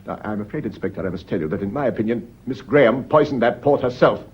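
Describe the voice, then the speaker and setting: An actor playing a defensive, uneasy gentleman in a radio drama, hesitant in manner, with a husky voice. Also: lowish voice, fast talker. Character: defensive, uneasy gentleman widower under suspicion of murder. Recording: muffled old radio drama recording.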